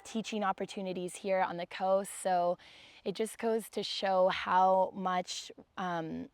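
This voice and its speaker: A young woman with a raspy voice, teaching a guided yoga class in a calm, gentle way. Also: lowish voice, dry recording. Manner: calm, gentle guidance with soft sighs.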